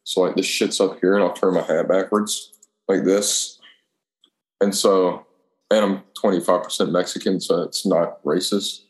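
Male, low register, slangy and sweary storyteller who plays out both sides of conversations, roomy echo. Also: fairly even intonation, unhurried. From Alabama, United States. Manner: profane, slangy, acts out dialogue. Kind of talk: casual chat, telling rambling anecdotes. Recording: echoey room.

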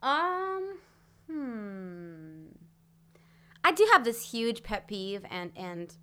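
A woman's voice: fairly high pitch, very animated, drawn-out words, a bit bright. A young woman, a musician guest on a podcast, talking frankly and emphatically. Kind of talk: casual interview chat.

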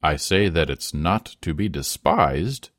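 A man voicing a grave, deliberate peasant husband who speaks in a weighty, terse way in a storybook reading. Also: a bass voice, sing-song, dry recording, studio quality, exaggerated voice.

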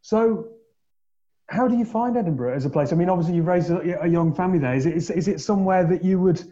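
A man hosting a podcast, chatting and joking with his co-host before interviewing a guest, with quick asides. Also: high voice, warm tone, muffled recording.

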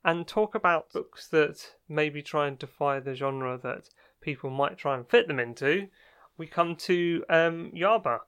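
A man co-hosting a podcast in a small homemade studio, chatting casually with a hesitant, halting delivery. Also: on the high side, a bit bright, clear, resonant tone. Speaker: podcast co-host.

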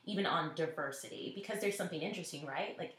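A woman's voice: sits low, fast talker, fairly bright tone. A woman from Nashville answering interview questions in an earnest, reflective way, often quoting what others said. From Nashville, Tennessee. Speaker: interview guest, school network executive. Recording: roomy echo.

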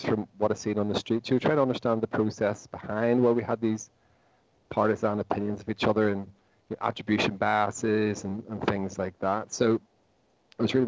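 A man's voice: fairly low pitch, darkish tone, no room sound, dull audio. A Northern Irish man in his forties, a professor answering interview questions in a thoughtful way, often restarting his sentences. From Northern Ireland. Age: 40s. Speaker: guest, psychology professor.

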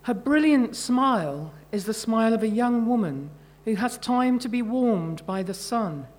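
A middle-aged woman, an author reading her own short fiction at a live event, measured and carefully phrased. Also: fairly high pitch, animated, darkish tone.

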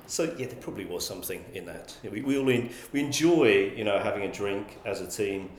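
A man speaking in a fairly low voice, talking through his answers in a measured way. He often restarts and repeats words as he thinks. The sound is echoey, with a faint hiss behind him. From England. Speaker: guest, magazine publisher. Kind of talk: conversational interview answers.

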